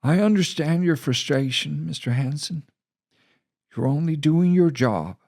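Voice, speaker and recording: Man acting a commanding colonel, raised pitch. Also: expressive, creaky, darkish tone, heavily acted. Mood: calm, courteous. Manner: measured, formal. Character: calm, commanding male army colonel, courteous and authoritative.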